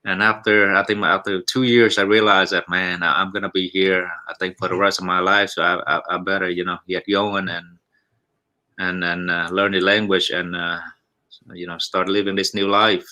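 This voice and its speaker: A Vietnamese-born man in his forties, a restaurant owner, talking in a halting, polite way with many restarts and repeated "yes, sir". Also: low voice, little pitch movement, pushed and bright. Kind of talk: casual interview chat.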